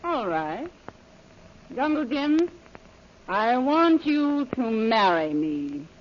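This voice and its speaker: A woman's voice: fairly high pitch, big pitch swings, very slow, full character voice. An actress playing a dangerous, commanding female villain with a mocking manner, in 1930s radio serial style. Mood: imperious. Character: imperious, dangerous female villain, coolly taunting. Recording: dull lo-fi old radio recording.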